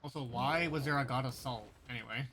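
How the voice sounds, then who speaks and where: A man's voice: mid-range voice, fairly quick, sharp tone, slight background noise. A man giving casual live commentary as he streams a game. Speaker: game livestreamer.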